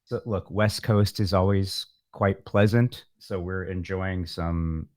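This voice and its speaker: A man's voice: sits low, flat delivery, takes it slowly, darkish tone, dry recording, studio quality. A man talking casually as an interview guest, musing in a reflective, wordy, rambling way. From San Francisco Bay Area, California. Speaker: guest, musician. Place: home setup, remote call.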